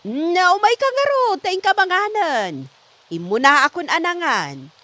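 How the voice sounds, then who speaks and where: A woman acting a shrill-edged, nagging wife. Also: sing-song, measured pace, pushed and bright, exaggerated voice. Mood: scolding. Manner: scolding, questioning. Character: nagging, suspicious wife of a forgetful old man. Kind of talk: comedy radio drama acting.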